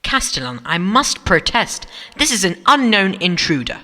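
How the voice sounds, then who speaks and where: A man's voice: high voice, sing-song, moderate pace, sharp tone, in a large hall, heavily acted. A man playing a stern, officious Time Lord coordinator in a sci-fi audio drama, with a pompous, formal, commanding manner. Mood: indignant.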